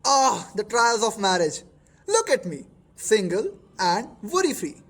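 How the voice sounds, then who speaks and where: Male actor as breezy young bachelor, husky, teasing, radio drama. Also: high voice, sing-song, unhurried, a bit bright, full character voice. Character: teasing, carefree single young office worker. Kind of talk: amateur radio drama acting.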